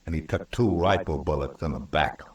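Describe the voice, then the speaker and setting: A low-voiced American lawman of the Old West. He is stern, weary and principled, weighing his words in firm, moral tones. The sound is roomy and set back from the mic, like a vintage radio western. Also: fairly quick, far from the mic. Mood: grim, pointed.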